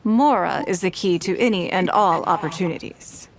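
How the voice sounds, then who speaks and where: An actress playing a calm, self-assured, wealthy businesswoman in a video game, speaking in a measured, polished and articulate way. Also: on the low side, vocal fry. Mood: thoughtful. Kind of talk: scripted video game voice acting. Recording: game dialogue over background music.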